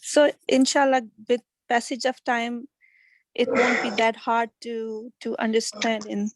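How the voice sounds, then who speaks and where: A woman's voice: fairly high pitch, little pitch movement, a little slow, slight background noise, low-quality recording. A woman student whose first language is Urdu, asking questions hesitantly and searching for words.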